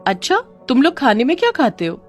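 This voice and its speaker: A woman's voice: high-pitched, very animated, fairly quick, faint music behind, pitched above the performer's own voice. A woman voicing a kind, determined schoolteacher in a children's moral story. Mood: encouraging.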